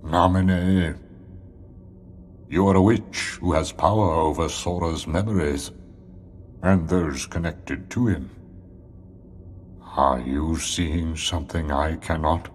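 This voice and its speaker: An actor playing a cold, condescending older man in a video game drama, with a measured, imperious delivery. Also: extremely low pitch, slight background noise. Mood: cold, probing. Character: cold, condescending older man scheming over a young witch.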